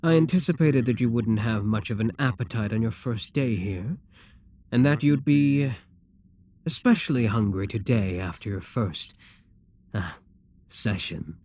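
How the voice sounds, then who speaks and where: A man's voice: on the low side, measured pace, darkish tone. A man playing a proud, seductive demon king in an ASMR roleplay, speaking in an imperious, courtly and theatrical manner. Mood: composed, courteous.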